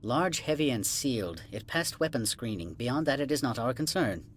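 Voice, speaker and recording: An actor playing a haughty alien corporate administrator in a video game, condescending, formal and clipped, dismissive of outsiders. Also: male voice, mid-range voice, expressive, moderate pace, a little hiss or hum, close to an everyday voice. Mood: guarded, formal. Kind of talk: video game cutscene acting.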